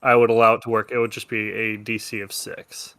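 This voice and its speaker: Bright-voiced man running a tabletop game. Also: on the low side, flat delivery, fairly quick, smooth, no room sound. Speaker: game master. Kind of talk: narrating a tabletop role-playing game.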